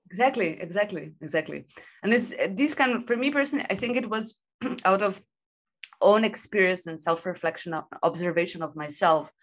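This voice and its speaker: A woman's voice: mid-range voice, expressive, a little fast. A woman guest on a video call, reflecting on learning and memory, who talks in restarts and laughs easily. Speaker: guest, design thinking. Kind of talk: casual video-call conversation.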